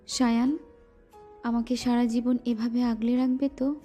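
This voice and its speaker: Lady doing a playful pouty young wife, kinda high voice, soft music behind. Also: little pitch movement, unhurried, close to an everyday voice. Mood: playful, affectionate. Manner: teasing, pouting. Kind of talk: romantic audio drama acting.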